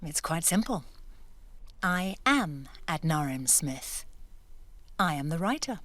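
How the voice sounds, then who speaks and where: A woman's voice: low-pitched, very animated, slow, heavily acted. A woman acting in an audio drama as a shrewd, self-assured writer, speaking in a composed and pointed way. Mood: cool, triumphant. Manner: composed, pointed, quietly assertive. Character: shrewd, self-assured woman writer secretly behind a male pen name.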